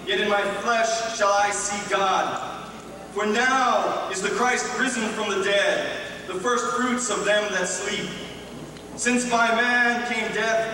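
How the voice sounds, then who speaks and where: High-pitched man proclaiming in an echoing hall. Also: bright, forceful tone. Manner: solemn, declamatory. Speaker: host and scripture reader at a church concert. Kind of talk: formal public introduction and scripture reading. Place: large auditorium on stage, indoors. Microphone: microphone on a boom stand. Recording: echoey hall, distant PA sound, faint noise.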